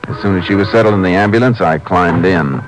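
Calm, methodical American lawman played by a man, very low voice, matter-of-fact vintage radio crime drama, with music. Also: natural voice, rough recording. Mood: narrating, grave. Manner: measured, matter-of-fact questioning. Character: calm, methodical Texas Ranger, lawman and narrator. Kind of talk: 1950s radio drama acting and narration.

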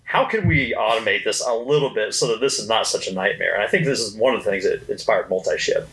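A man's voice: lowish voice, fast talker, fairly bright tone, rough recording. A man telling stories in casual podcast chat, with an emphatic way of talking. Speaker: podcast co-host recalling company history.

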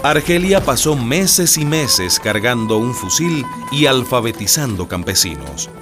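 An actor narrating a historical radio drama, as a storyteller of revolution. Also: male voice, mid-range voice, sing-song, a little slow. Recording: music bed under the voice.

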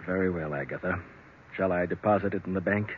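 A man's voice: low voice, fast talker, husky. A man playing a defeated, henpecked middle-aged husband in old radio drama, meek, weary and hesitant. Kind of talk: 1940s radio drama acting. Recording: very muffled, fuzzy vintage radio recording.

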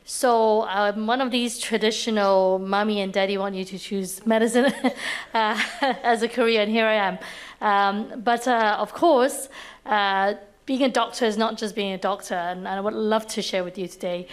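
Friendly woman doctor, forties, from Hong Kong, mid-pitched panel voice. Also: steady tone, normal speed, smooth. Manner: friendly, laughs lightly. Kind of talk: panel introduction.

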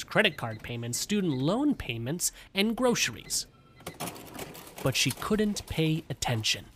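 Higher-pitched man reading a story. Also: a bit bright. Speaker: story reader. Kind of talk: scripted narration of short fiction.

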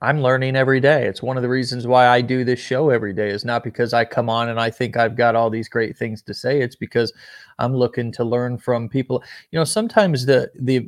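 A man hosting a casual online interview show, upbeat and energetic, often restarting and repeating words. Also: mid-range voice. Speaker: host.

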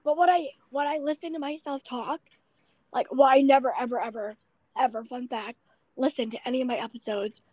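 A young woman in her late teens hosting a podcast, with a raspy voice, chatting in a rambling, self-deprecating way full of fillers. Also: high voice, steady tone, fairly quick, darkish tone, no room sound, rough recording. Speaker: podcast host. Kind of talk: casual chat.

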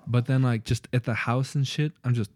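A man's voice: medium pitch, steady tone, normal speed, a little mellow. Laid-back young man cursing in casual chat. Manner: loose and profane, laughs often. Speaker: podcast co-host.

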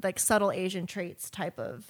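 A woman hosting a podcast, interviewing in a casual, informal and somewhat halting way. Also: on the low side, lively intonation. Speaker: podcast host.

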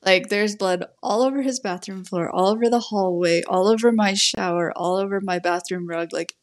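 A woman's voice: mid-range voice, normal speed. A woman co-hosting a podcast, chatting casually and telling a long story with many "like" fillers. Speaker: podcast co-host, attorney.

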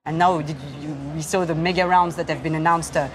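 A woman, an investor speaking in an onstage interview, measured and halting with many fillers. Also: sits low, steady tone. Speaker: investor, fund founder. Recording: crowd murmur in the background.

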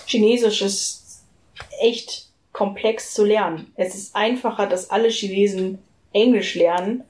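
A woman's voice: mid-range voice, fairly even intonation, unhurried, darkish tone, rough recording. A woman speaking colloquially in a casual discussion, giving blunt opinions as a co-host. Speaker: co-host of a discussion about a TV series. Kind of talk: casual chat, critical series discussion.

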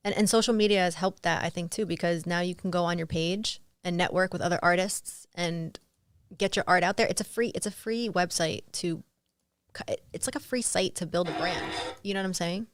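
A woman's voice: on the low side, a little fast, dry recording. A woman guest in a casual interview, chatty and full of "like" fillers. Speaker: guest, model and art dealer.

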